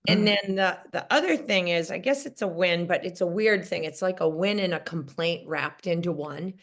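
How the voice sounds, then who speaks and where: A woman's voice: fairly low pitch, normal speed. A woman teacher sharing a story on a group coaching call, chatty and wry, with frequent fillers. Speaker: caller, teacher.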